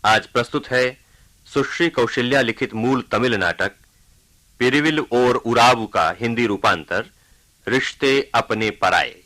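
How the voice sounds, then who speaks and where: A man reading a formal scripted introduction as a radio announcer, measured and deliberate. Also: mid-range voice, sharp tone.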